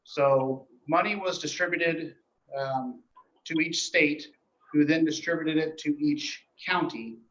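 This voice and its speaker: Man, fairly high voice, halting informal board report, matter-of-fact, echoey room, muffled audio. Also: a bit bright. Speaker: emergency dispatch official reporting to a board. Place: indoor meeting room.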